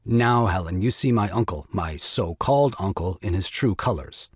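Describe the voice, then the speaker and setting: A man's voice: low voice, lively intonation, normal speed, slightly rough, no echo, studio quality. An actor playing a scheming young husband and new father in a farce, sarcastic and mock-tragic. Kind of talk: stage farce audio drama acting.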